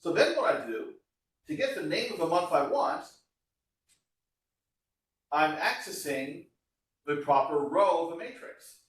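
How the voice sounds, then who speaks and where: A man's voice: on the high side, expressive, unhurried, pauses often. A man giving an informal classroom lecture, explaining code step by step in a conversational way as a university instructor. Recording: echoey room, voice far from mic.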